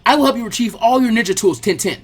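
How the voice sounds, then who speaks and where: A storyteller voicing an earnest, over-excitable teenage boy martial artist who speaks in formal, emphatic declarations. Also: medium pitch, quick pace, husky, voice pushed up, close to an everyday voice. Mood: eager, polite.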